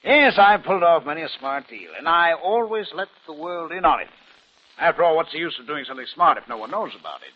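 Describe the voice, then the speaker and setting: A man's voice: fairly high pitch, expressive, fast. An actor playing a boastful, scheming businessman and smug killer in an old radio drama. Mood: smug, chatty. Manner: boastful, self-satisfied, theatrical. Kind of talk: 1950s radio drama acting. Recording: muffled vintage radio broadcast.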